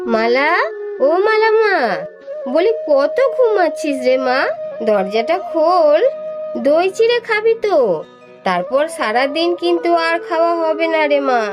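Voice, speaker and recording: A woman plays a middle-aged village mother in a melodramatic horror cartoon. Her voice is extremely high and drawn out, full of feeling, under very loud music. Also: big pitch swings, slow pace, full character voice. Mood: tender coaxing.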